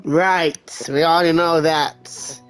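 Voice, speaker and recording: A woman's voice: low-pitched, steady tone, takes it slowly, soft background music, low-quality recording. A woman streamer giving casual reaction commentary over gameplay, with informal asides at the game. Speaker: gaming streamer.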